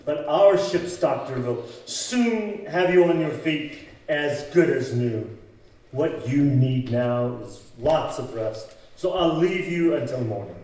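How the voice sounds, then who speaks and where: A man's voice: medium pitch, expressive, slow, darkish tone. A man playing a kindly, reassuring ship's captain in an old-time radio drama, with a stagy delivery. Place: live on stage in a community hall. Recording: echoey hall, distant mic, faint noise.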